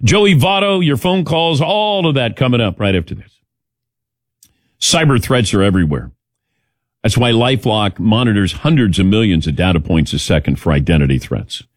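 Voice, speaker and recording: A male radio host talking sports in a casual, opinionated way. His pitch moves around a lot, and the sound is dry and close, with a wry edge to his delivery. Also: expressive, normal speed, dry recording.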